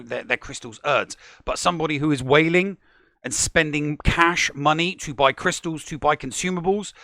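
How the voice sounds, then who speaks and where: A man co-hosting a gaming podcast, chatting casually and animatedly, often stumbling and restarting when excited. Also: on the high side, creaky, no echo, studio quality. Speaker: podcast co-host.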